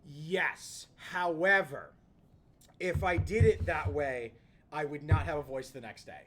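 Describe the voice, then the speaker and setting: A man's voice: on the high side, a little slow, a bit bright. A man joking and bantering with his co-hosts in casual chat, with frequent false starts. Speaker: show regular.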